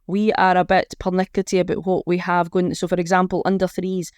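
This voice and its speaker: A woman's voice: on the low side, flat delivery, fairly quick, darkish tone, smooth, dry recording, studio quality. A woman with a Scottish accent chatting informally as a charity organiser being interviewed. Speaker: charity organiser, podcast guest.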